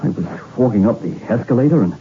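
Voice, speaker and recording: Young male suspect, actor: earnest, defensive, hesitant, very animated intonation, thin vintage radio sound. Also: mid-range voice, fast talker, close to an everyday voice. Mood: anxious, hesitant. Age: young adult. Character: anxious young male murder suspect pleading innocence. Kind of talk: old-time radio drama acting. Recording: tinny old radio broadcast, faint noise.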